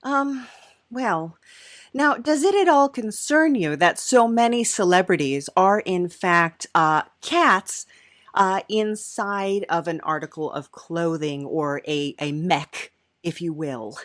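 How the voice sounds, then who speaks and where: A woman's voice: fairly low pitch, takes it slowly. A woman hosting an improvised comedy interview, speaking hesitantly in trailing phrases. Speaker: podcast host.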